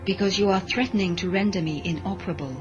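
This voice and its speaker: A woman's voice: medium pitch, fast, close to an everyday voice. Woman acting emotionless cyborg lady, precise n formal, obedient, lo-fi radio play w faint music. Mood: flat, detached. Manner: formal, detached, precise, deferential. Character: emotionless modified female cyborg servant, dutiful. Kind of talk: science fiction audio drama acting. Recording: dull lo-fi audio drama recording, music behind.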